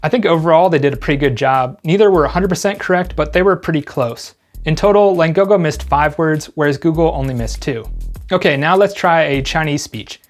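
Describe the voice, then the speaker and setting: A man hosting a tech review, calmly explaining test results in scripted narration. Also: fairly high pitch, a little fast, soft background music. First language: English. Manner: calm, explanatory, even-handed. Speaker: gadget reviewer host.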